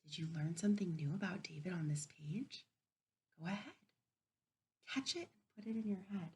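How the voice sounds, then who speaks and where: A woman teacher reading a picture book aloud to young children, gentle and encouraging, often dropping to a soft whisper. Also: on the low side, lively intonation, normal speed. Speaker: kindergarten teacher. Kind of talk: read-aloud storybook lesson for young children.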